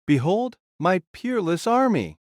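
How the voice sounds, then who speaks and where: A proud warrior king of legend, acted by a man, speaks in grand proclamations. The voice sits a little high for a man and is recorded very dry. He is commanding and theatrical, in audio drama style. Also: very animated, measured pace, dry recording. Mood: triumphant, proud.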